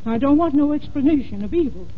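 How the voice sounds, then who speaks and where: An actress playing a superstitious, stubborn older housekeeper with folksy, rustic dialect in an old-time radio drama. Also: female voice, sits high, animated, quick pace. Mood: spooked, insistent. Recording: tinny, noisy old radio recording.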